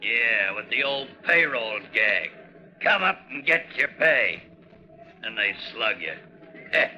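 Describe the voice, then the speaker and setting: Captive second mate: sardonic, slangy, slow, hard bright edge, vintage radio drama. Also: male voice, medium pitch, sing-song, soft background music, heavily acted. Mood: wry. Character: wry, weary ship's second mate held captive. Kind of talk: 1940s radio drama acting. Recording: muffled 1940s radio recording.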